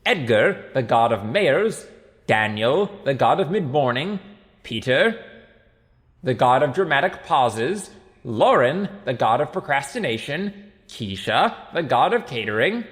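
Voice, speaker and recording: A man voicing a jolly, bumbling town mayor making a loud public speech in a comic audiobook. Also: mid-range voice, big pitch swings, measured pace, in a large hall. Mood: proud, excited. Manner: loud, exclamatory public address.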